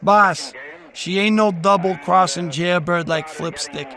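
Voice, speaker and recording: A scrappy, earnest gangster underling in a noir audio drama. His voice is high, sharp and gravelly, and he talks deferentially in old mob slang. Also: measured pace, full character voice. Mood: earnest, pleading.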